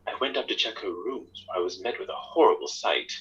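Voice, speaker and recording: Man playing a husky, caddish gentleman captain. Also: on the low side, very animated, a little fast, muffled recording, exaggerated voice. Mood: smooth, guarded. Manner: suave, formal, evasive. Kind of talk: audio drama acting.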